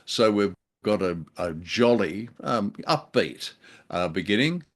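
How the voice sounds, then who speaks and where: A man with a very low voice chats as a review co-host. His phrasing is measured and a bit hesitant, with a dry delivery. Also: expressive. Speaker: podcast co-host. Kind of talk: casual review chat with dry humour.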